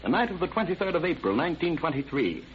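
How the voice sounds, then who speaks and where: An actor playing a brisk, polite prosecutor with a formal, rapid-fire manner in 1930s radio style. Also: male voice, on the high side, very animated. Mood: businesslike. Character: brisk, polite male prosecutor questioning witnesses. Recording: dull, noisy old radio recording.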